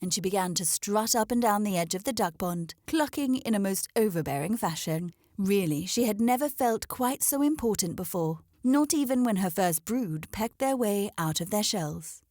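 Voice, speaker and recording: A woman reads a children's story aloud as its narrator. Her pitch sits in the middle range, and the recording is dry and free of echo. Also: expressive, moderate pace, hardly any pauses, a little mellow.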